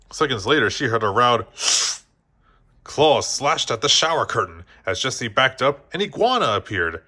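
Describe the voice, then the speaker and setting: A man's voice: medium pitch, sharp tone. A man reading a children's story aloud as scripted narration, now and then stumbling over a word. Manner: occasionally stumbles over words and rereads. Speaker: storybook reader.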